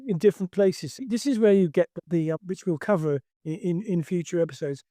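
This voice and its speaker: A man's voice: high-pitched, a little fast, mellow, no room sound, clean studio sound. An older man who teaches karate and talks emphatically in a discussion, restarting his sentences often.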